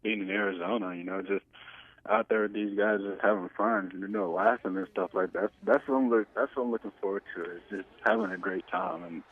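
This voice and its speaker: Over a narrow, tinny telephone line, a man in his twenties talks in a deep, low voice. He is an athlete being interviewed, speaking casually with hesitant phrasing and frequent pauses. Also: fairly even intonation, husky, no room sound. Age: 20s. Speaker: pro football player being interviewed. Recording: tinny, band-limited phone line.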